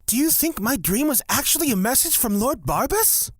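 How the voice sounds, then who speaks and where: A woman's voice: sits high, big pitch swings, moderate pace, no echo, studio quality. A woman playing a sweet, serenely confident young male cleric in a fantasy audio drama, earnest and sunny.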